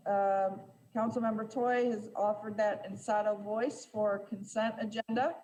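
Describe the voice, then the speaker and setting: A woman presiding over a city council meeting, speaking in a measured way with frequent fillers and restarts. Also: mid-range voice, fairly even intonation, warm tone, poor audio.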